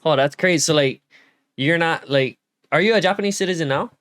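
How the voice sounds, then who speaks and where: A young man from Hawaii hosts a casual podcast chat, easygoing and quick to laugh along. His voice is smooth and a touch high, caught dry and close on the mic. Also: fairly bright tone, no echo. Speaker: podcast host.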